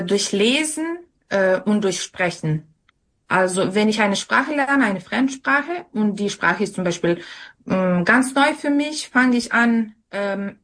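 Slow-speaking woman. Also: mid-range voice, a bit bright. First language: Serbian. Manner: hesitant, searching for words. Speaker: interview guest. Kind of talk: conversational interview answers.

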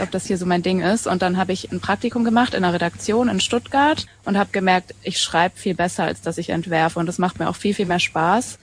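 Female podcast co-host, twenties, from southwestern Germany, medium pitch, informal narrative, audible noise behind. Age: 20s. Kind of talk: casual conversational storytelling. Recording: clearly noisy background.

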